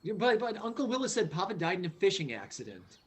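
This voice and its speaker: A man playing an earnest, anxious but insistent son in a radio play, with a husky, hesitant delivery. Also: high-pitched, big pitch swings, fast, full character voice. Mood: nervous. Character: earnest, anxious, insistent child of a dead father.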